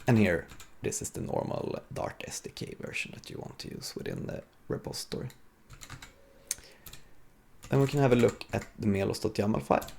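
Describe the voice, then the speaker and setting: A man giving an informal, explanatory live coding talk as a conference speaker, with a gravelly, husky voice. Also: fairly low pitch, darkish tone.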